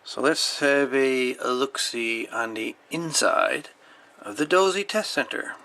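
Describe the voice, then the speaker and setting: A man presents a piece of gear in a relaxed, hands-on video. He speaks in a medium-pitched voice with folksy, colloquial phrasing, as if chatting to viewers while he works. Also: slow, gravelly. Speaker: video host reviewing and repairing gear. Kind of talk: casual hands-on demonstration talk. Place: indoor workbench.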